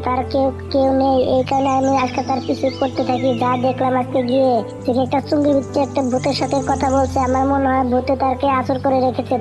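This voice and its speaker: Cartoon casting: concerned pigeon uncle, played by a woman, insistent, little pitch variation, music underneath. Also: lightly acted. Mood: worried. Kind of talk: cartoon voice acting. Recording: music bed behind the voice.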